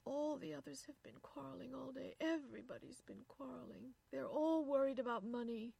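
A woman's voice: high-pitched, moderate pace, gravelly, mellow, slightly rough, dry recording, pitched above the performer's own voice, full character voice. A woman voicing an anguished, overwrought young wife in a story reading, with tearful, pleading delivery. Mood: distressed, disgusted.

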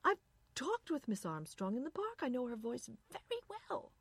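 A woman's voice: high voice, sing-song, moderate pace, creaky, a bit bright, husky, dry recording, voice pushed up, full character voice. An anxious, curious wife from an audiobook story, acted by a woman. She speaks emphatically in a slightly raised voice, insistent and easily astonished, with a dry sound.

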